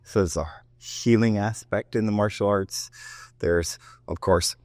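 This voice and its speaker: A man in his fifties giving relaxed interview answers, rambling a little and often restarting his sentences. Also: lowish voice, a little slow, no echo. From United States, raised in Japan. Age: 50s. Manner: rambling with frequent restarts and self-interrupting asides. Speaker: martial arts teacher and guest.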